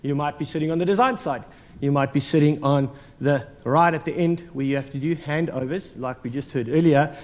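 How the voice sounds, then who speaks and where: South African male speaker, slightly high voice, casual and joking, hall with long reverb. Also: a little fast, a little mellow. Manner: jokey, conversational, many ums and uhs. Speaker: conference speaker. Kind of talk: conference presentation. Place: large conference hall.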